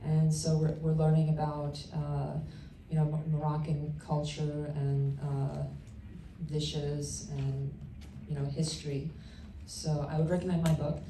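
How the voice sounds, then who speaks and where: A woman author born in Turkey, answering audience questions on a panel in a halting, hesitant way. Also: sits low, little pitch movement. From born in Turkey, Uzbek. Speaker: panelist, children's book author. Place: panel session in a room. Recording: roomy, distant mic, faint noise.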